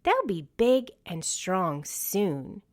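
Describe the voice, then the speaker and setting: Reader lady as a kind storybook farm woman explaining stuff, slow, lilting pitch. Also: wildly swooping pitch, exaggerated voice. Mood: gentle, informative. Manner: explanatory, reassuring. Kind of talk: storybook character reading.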